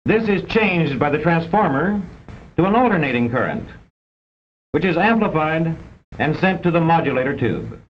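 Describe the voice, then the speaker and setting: A man's voice: big pitch swings, moderate pace. A man reads scripted narration for an old educational film in a formal, precise, didactic way, on a vintage film soundtrack. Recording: dull vintage film soundtrack with rumbling noise.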